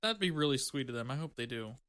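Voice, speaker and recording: A man with a bright, forward voice at a middling pitch. He chats casually, like a co-host responding to someone else. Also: lively intonation, fast talker, clear, resonant tone. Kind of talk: casual chat.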